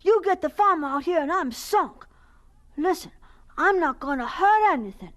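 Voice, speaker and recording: Very high-voiced homeless farm boy. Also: lively intonation, normal speed, a bit bright. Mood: hushed, weary. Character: homeless ten-year-old farm boy, earnest, pleading, timid. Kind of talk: radio drama acting.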